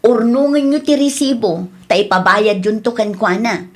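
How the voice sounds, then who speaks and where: A woman's voice: fairly high pitch, normal speed, close to an everyday voice. A woman acting an indignant, complaining neighbour in an Ilocano comedy radio drama, with an Ilocano accent and lots of exclaiming. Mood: aggrieved.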